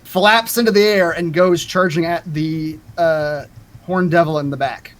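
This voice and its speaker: A man's voice: a little high, steady tone, unhurried, a bit bright. A man acting as game master, narrating scenes and rulings in a tabletop roleplaying game.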